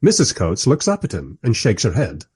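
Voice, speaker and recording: Man as a wry, husky, lively narrator. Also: fairly low pitch, normal speed, heavily acted. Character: wry, irreverent male writer narrating, politely probing interviewer. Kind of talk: first-person radio play narration.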